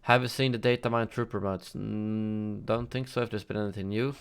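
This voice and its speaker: A man's voice: on the low side, steady tone, fairly quick, vocal fry, clean tone. A man hosting a gaming news livestream, chatting casually and answering viewers' chat with frequent fillers and self-corrections.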